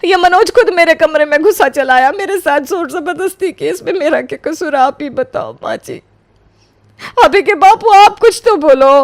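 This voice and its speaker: Lady doing a wronged young wife, begging and weepy, high voice, dry audio, full melodrama. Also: animated, moderate pace, no room sound. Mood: desperate pleading. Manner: tearful, pleading. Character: young wronged village wife, desperate and pleading. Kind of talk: melodramatic audio story acting.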